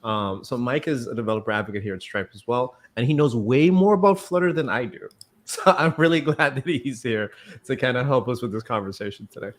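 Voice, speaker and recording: A man's voice: medium pitch, brisk. A man hosting a casual developer livestream, upbeat and welcoming as he introduces his guests. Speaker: livestream host, developer advocate.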